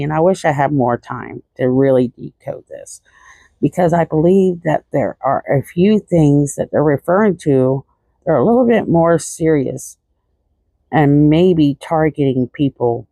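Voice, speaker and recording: A woman's voice: sits low, mellow, no echo. A woman hosting a true-crime show, giving casual analytical commentary.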